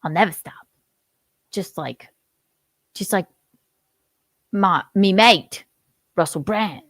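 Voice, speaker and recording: A woman's voice: medium pitch, slow pace, a bit bright, dry recording. A woman voicing a violent, unrepentant male hawk in his forties who boasts about attacking bald men. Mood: menacing. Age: 40s. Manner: gleeful, unrepentant, menacing boasts.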